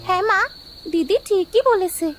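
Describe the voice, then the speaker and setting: A woman voicing an eager, caring young village daughter in a cartoon story, with earnest, expressive delivery. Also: extremely high pitch, moderate pace, over music. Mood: reassuring. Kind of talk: Bengali animated moral story dubbing.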